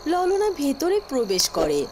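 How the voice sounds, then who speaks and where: A woman's voice: high voice, huge pitch swings, unhurried, background noise. A woman narrating a scripted fairy-tale cartoon story.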